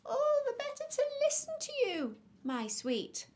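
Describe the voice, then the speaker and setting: A woman voicing a storybook wolf who puts on a squeaky, falsely sweet falsetto to pass as an old granny. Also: huge pitch swings, unhurried, a little mellow, exaggerated voice. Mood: sly, cooing. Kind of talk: children's storybook character voice.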